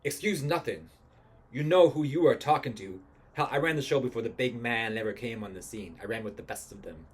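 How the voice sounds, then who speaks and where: A man's voice: mid-range voice, animated, fairly quick, breathy edge. A man voicing a cocky, menacing 1920s gangster who talks in slangy tough-guy threats, read from a musical script. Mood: threatening.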